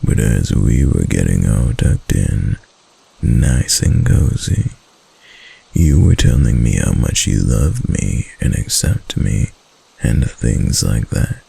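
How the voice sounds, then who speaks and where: Casting a male actor for a clingy yandere fox-spirit husband, intimate ASMR roleplay; slow, heavily creaky murmur, tender and obsessive. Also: animated, mellow, full character voice. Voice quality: deep, breathy, gravelly. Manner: slow, hesitant, coaxing endearments.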